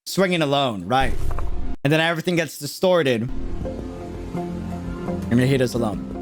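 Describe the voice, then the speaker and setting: A man's voice: medium pitch, lively intonation, a little slow, over music. A man giving casual, slangy livestream reaction commentary, thinking aloud as he goes. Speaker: reaction streamer.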